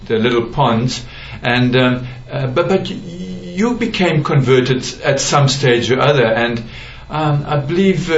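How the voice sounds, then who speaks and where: Halting male radio host, echoey. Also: medium pitch. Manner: halting, many fillers. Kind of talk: radio interview. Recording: dull, echoey radio studio with faint hiss.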